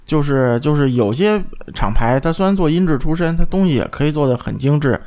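A man's voice: on the high side, lively intonation, a little fast, a little mellow. A man co-hosting a podcast, chatting about audio gear in a blunt, colloquial way and often tagging points with checks for agreement. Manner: blunt, colloquial, seeks agreement with tag questions. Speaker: podcast co-host.

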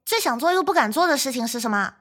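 High-pitched woman hosting playful chat. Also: expressive, fast talker, fairly bright tone. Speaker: podcast co-host. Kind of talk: playful casual podcast chat.

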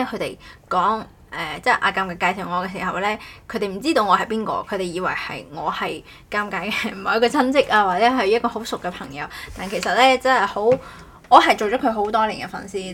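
A woman's voice: medium pitch, a bit bright, a little hiss or hum. A woman chatting casually as a podcast host, rambling and often correcting herself. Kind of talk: casual chat.

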